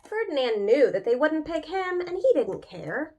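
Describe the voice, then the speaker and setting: High-voiced, animated woman reading to children. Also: moderate pace. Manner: expressive, talks directly to young listeners with questions. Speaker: preschool teacher reading aloud. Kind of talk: animated picture-book read-aloud for young children.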